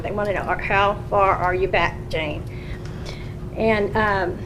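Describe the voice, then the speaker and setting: A woman county recorder lays out her case to a council in a plain meeting room, patient and earnest. Her voice sits at an ordinary middle pitch. A steady hum runs under the public meeting recording. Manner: earnest, explaining patiently with restarts.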